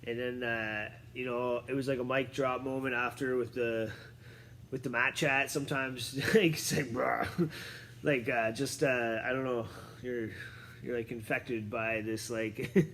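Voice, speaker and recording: Rambling fired-up coach. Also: male voice, mid-range voice, steady tone, unhurried, fairly bright tone, a little hiss or hum. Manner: rambling, filler-heavy, fired up. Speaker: martial arts coach. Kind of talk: casual talk to camera. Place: seated on a chair indoors.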